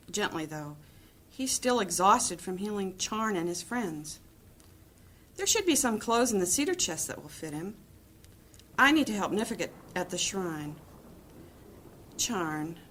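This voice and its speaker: A woman playing a kindly, organizing temple helper in a fantasy audio drama, gently directing others. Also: medium pitch. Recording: faint background ambience noise.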